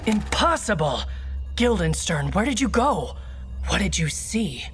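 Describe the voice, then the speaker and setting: A woman's voice: mid-range voice, very animated, a little slow. A woman playing an earnest, easily exasperated secret agent in a comic video game, melodramatic and incredulous. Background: game music.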